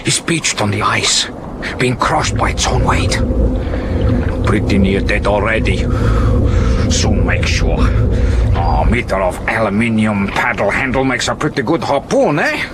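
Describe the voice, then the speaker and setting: A man's voice: on the low side, very animated, measured pace, loud music behind the voice. An actor playing a rugged, resourceful seafaring man in a radio thriller, speaking in terse, earthy sentences that often end in a questioning tag. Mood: grim, urgent.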